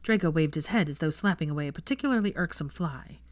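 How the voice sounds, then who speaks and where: Casting: female story narrator, low register, close dry sound. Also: expressive, fast, no room sound. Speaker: fan fiction narrator.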